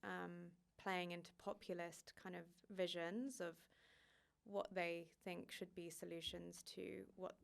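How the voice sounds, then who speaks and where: Low, creaky woman journalist. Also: flat delivery, measured pace. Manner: thoughtful, hedging with fillers and restarts. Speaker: migration journalist guest. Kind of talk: podcast interview answers.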